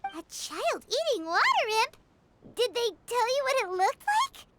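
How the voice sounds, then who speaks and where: A woman voicing a tiny, excitable, skittish fairy companion with a childlike manner in a video game. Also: very high voice, unhurried, very noisy, full character voice. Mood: curious, skeptical. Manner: speaks of herself in the third person, exclamatory.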